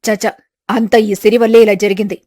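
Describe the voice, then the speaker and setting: A woman's voice: medium pitch, little pitch movement, normal speed, warm tone, no room sound, clean studio sound, close to an everyday voice. Woman as a fretful village mother-in-law. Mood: anxious. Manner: fretful, complaining. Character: worried mother-in-law Lakshmi, weary village matriarch. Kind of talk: dramatized village family story.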